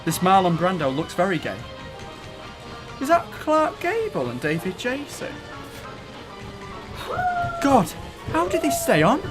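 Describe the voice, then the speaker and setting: A man's voice: high voice, huge pitch swings, unhurried, long pauses, full character voice. A man acting in an audio drama as a nervous, wry character with a dry, anxious delivery. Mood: alarmed. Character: nervous, wry man dreading a fairground ride. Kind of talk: audio drama dialogue and first-person narration. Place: recording studio. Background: music.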